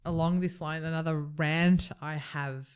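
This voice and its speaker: A woman's voice: low-pitched, steady tone, measured pace, clean tone. A middle-aged woman co-hosting a podcast, chatting and venting with humour and frequent laughs. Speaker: podcast co-host. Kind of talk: casual chat.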